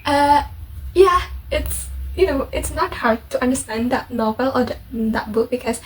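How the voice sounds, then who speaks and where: A woman's voice: sits high, animated, normal speed. A young Indonesian woman with an Indonesian accent, chatting casually in a vlog, full of fillers and self-corrections. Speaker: vlogger. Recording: distant mic with faint background noise.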